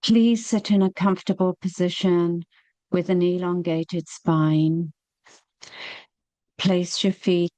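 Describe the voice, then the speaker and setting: A woman leading a guided meditation, speaking gently and soothingly with long, unhurried pauses. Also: fairly low pitch, no room sound.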